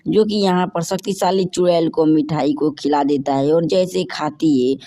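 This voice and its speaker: A woman's voice: low-pitched, steady tone, moderate pace, mellow. A woman reading a scripted narration for a cartoon story.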